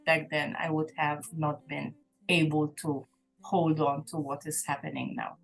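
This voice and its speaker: A woman's voice: deep voice, little pitch movement, a little slow, vocal fry, rough recording. A woman, a gospel singer speaking as an interview guest in an earnest, reflective way, often restarting her sentences. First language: Maltese. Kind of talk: casual interview chat.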